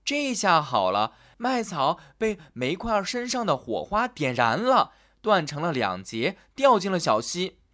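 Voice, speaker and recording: Lively male children's storyteller. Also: high voice, measured pace, hardly any pauses. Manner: gentle, sing-song storytelling to children. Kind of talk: scripted fairy-tale narration.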